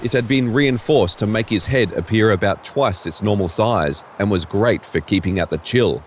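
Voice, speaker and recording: A man with a fairly low voice narrates a scripted story in audio drama style. Faint ambient noise runs behind his reading.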